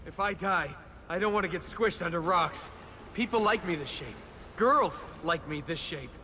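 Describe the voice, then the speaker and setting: An actor playing a young, sarcastic adventurer prince, a flippant and reluctant hero in a video game. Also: male voice, high-pitched, normal speed, sharp tone, a little hiss or hum. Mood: wry. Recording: music behind the voice. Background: game music.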